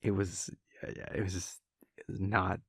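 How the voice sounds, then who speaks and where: A young man playing a laid-back, wry vampire prince boyfriend in an intimate audio roleplay, telling a story in a hushed, halting, amused way. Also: low voice, little pitch movement, creaky, husky, no room sound, studio quality, heavily acted. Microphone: close, dry studio microphone.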